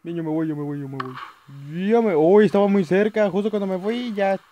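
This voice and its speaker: Chilean young guy yelling at a horror game, kinda high voice, rambles a lot. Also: normal speed, slight background noise. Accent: Chilean Spanish. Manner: jumpy, shouting at scares, rambling asides. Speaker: gaming youtuber. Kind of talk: let's play commentary.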